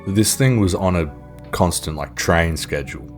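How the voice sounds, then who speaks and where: A man's voice: deep voice, moderate pace. A man narrating an explainer in a casual, informal and offhand way. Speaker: video host and narrator. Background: music.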